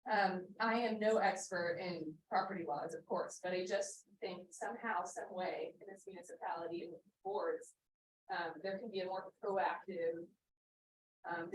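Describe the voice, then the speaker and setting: Earnest woman speaking in an echoey room. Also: mid-range voice. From Pennsylvania, US. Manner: earnest, many ums, reads from notes. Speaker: resident giving public comment. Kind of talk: public comment at a board meeting. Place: meeting room. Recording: echoey room, distant mic, faint hiss.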